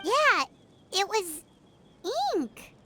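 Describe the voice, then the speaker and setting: A woman voicing a tiny, excitable female fairy companion in a video game, who talks about herself in the third person with loud exclamations. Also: very high-pitched, extremely slow, a little hiss or hum, heavily acted. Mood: puzzled. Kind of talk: video game cutscene voice acting. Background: loud game music.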